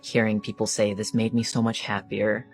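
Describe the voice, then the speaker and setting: A woman's voice: rumbling low, little pitch movement, normal speed, a little mellow, faint music behind. A woman candidly and reflectively telling her personal story in an interview.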